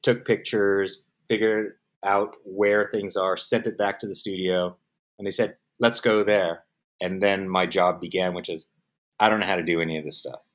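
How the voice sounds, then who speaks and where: A middle-aged man with an American accent giving casual, anecdotal interview answers, animatedly acting out bits of dialogue. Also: sits low. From San Diego, United States. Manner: animated storyteller, acts out quoted dialogue. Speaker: interview guest, film production professional.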